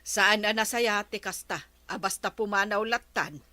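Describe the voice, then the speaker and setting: An actress with an Ilocano accent playing a practical, disapproving village wife in a radio comedy drama. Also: female voice, medium pitch, moderate pace, bright, forceful tone, no echo, close to an everyday voice. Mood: scolding.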